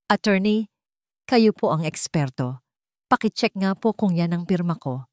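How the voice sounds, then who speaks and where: A woman's voice: fairly low pitch, expressive, measured pace, no echo. A wronged wife played by a woman reader speaks with bitter defiance, finished with being used. It is dramatic audiobook dialogue, recorded dry and close. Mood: cold challenge.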